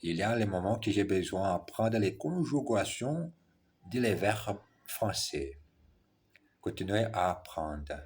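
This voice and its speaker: Slow, husky man. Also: on the low side, expressive, darkish tone. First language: Portuguese. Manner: halting, careful, frequent self-corrections. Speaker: French learner. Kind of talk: reading French practice aloud.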